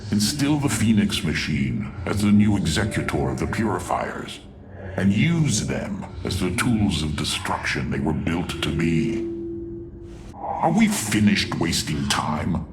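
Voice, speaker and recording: An actor playing a haughty, cruel, sardonic alien warlord in a video game cutscene, drawling with theatrical contempt in a deep, gravelly voice. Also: male voice, big pitch swings, a little slow, music in the background. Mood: impatient, taunting.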